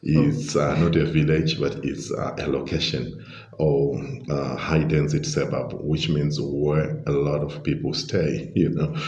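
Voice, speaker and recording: The senior guest at a podcast table in a roomy restaurant, a Zimbabwean man explaining things genially in a very deep, unhurried voice. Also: flat delivery, darkish tone. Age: middle-aged. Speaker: podcast guest, leadership coach and pastor. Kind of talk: conversational interview answers. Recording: dull, roomy, echoing sound.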